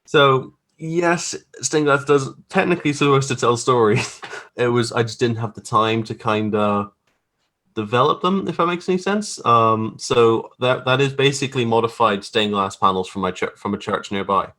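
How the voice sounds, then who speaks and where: A man's voice: mid-range voice, normal speed, bright, forceful tone. A man speaking as a guest graphic artist in a casual interview, explaining his work in an informal, hesitant, rambling way. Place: remote video call.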